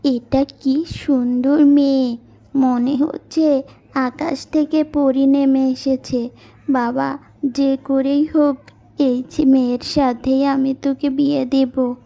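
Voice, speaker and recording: A forthright, kindly middle-aged mother, voiced by a woman in a cartoon village tale. The voice is high and mellow, that of someone sizing up brides for a son. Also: level tone, slow pace, creaky, exaggerated voice. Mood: admiring.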